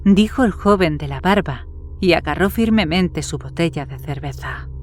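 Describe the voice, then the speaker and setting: A woman narrates a scripted horror story. Her voice is on the low side for a woman, and faint music plays underneath as she reads. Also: lively intonation, moderate pace. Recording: music behind the voice.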